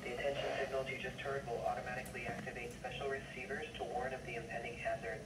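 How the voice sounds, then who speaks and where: A synthetic male announcer reading scripted emergency alerts in a measured way over a weather radio speaker. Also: mid-range voice, little pitch movement, roomy sound, recorded from a distance. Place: played from radios in a room, picked up by camera. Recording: noisy radio speaker picked up from across room.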